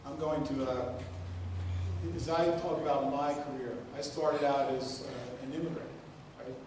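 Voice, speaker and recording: A man's voice: medium pitch, steady tone, unhurried. A man born in Portugal, moderating a student event and speaking earnestly, often tagging his points with "right?". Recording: echoey room, distant mic, faint noise.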